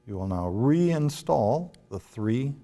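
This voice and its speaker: Slow, mellow man in an echoing room. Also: mid-range voice, sing-song. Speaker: product demonstrator. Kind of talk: step-by-step instructional demonstration. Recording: long reverberant room echo.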